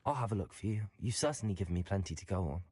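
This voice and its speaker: An actor playing a polite, helpful young student wizard in a video game, speaking courteously and often musing aloud. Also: male voice, deep voice, lively intonation, racing, a little mellow. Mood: polite, keen. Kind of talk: video game protagonist dialogue.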